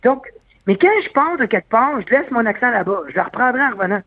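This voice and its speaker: A man's voice: extremely high pitch, lively intonation, quick pace, dry recording. A man with a Québécois French accent, chatting as an interview guest, hesitant with many fillers and restarts. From Quebec. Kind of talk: casual interview chat. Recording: dry tinny phone line.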